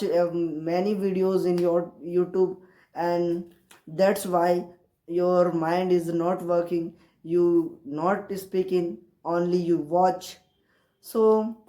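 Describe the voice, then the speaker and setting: A woman with an Indian accent and Hindi as her native language, talking casually and haltingly in learner's English, repeating herself to encourage viewers. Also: fairly low pitch, mellow. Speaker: English-learning video creator. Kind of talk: casual motivational talk to camera. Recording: roomy, echoey sound.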